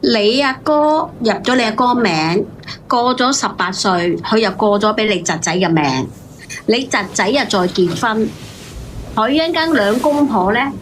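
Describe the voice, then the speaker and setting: Insistent Cantonese woman, noisy line. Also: on the high side. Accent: Hong Kong Cantonese. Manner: emphatic, insistent, lecturing. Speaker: call-in participant giving advice. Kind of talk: unscripted call-in argument.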